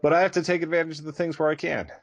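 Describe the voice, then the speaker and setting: A man's voice: on the high side, level tone, racing, dry recording. A man co-hosting a podcast, talking casually and candidly in a conversational, self-deprecating way. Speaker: podcast co-host.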